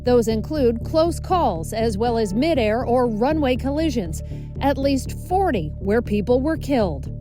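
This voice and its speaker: A woman with a fairly high voice reads a scripted investigative news report. She speaks as a TV reporter, and music plays clearly behind her narration. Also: expressive, a little slow.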